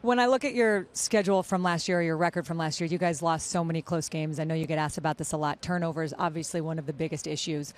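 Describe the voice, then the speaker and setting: Fast-talking woman sports host. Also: deep voice, fairly even intonation. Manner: upbeat, chatty, long-winded questions. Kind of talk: TV sports interview.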